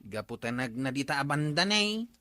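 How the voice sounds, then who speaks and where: A man's voice: medium pitch, very animated, normal speed, bright, forceful tone, no room sound, clean studio sound. A man playing an inquisitive, teasing villager in a comedy audio drama, speaking with an Ilocano accent.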